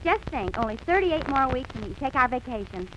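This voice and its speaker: Wisecracking female comedy sidekick, high and swooping, 1930s radio style, muffled recording with faint hiss. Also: sing-song, a little fast, sharp tone, full character voice. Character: dry wisecracking woman sidekick of a radio host. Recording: dull, noisy vintage 1930s radio.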